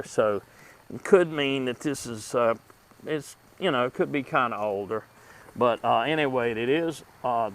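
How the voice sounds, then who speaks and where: A middle-aged man hosting a casual vlog about vintage gadgets, speaking outdoors in a halting, folksy way into a close microphone. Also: mid-range voice. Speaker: video host showing vintage gadgets. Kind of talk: casual product showcase vlog. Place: outdoors at a table in a wooded yard.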